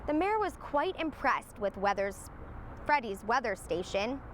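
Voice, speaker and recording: High-pitched, animated woman reading a story. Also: a little slow, slight background noise. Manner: expressive read-aloud. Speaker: storybook reader, meteorologist. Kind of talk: scripted children's story narration.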